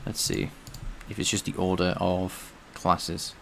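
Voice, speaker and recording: A man's voice: deep voice, flat delivery, takes it slowly, gravelly, a little hiss or hum. A man streaming himself coding, thinking aloud and chatting with viewers. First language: English. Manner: thinks aloud while coding, answers chat. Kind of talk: casual live-coding stream chat.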